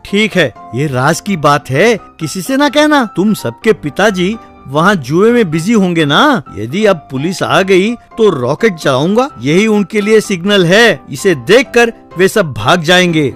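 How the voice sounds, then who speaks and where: A man's voice: high-pitched, sing-song, a little slow, a bit bright, full character voice. Man voicing a cocky cartoon village uncle over music. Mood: dismissive, secretive. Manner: colloquial, boastful, brushing children off. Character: secretive, cocky village uncle, a gambling lookout. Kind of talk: cartoon comedy story character.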